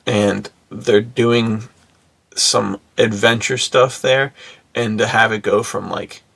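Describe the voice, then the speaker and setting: A man's voice: lowish voice, fairly even intonation, slow pace, vocal fry, fairly bright tone, breathy edge, dry recording. A man co-hosting a podcast in casual chat, talking in laid-back bro slang. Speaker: podcast co-host.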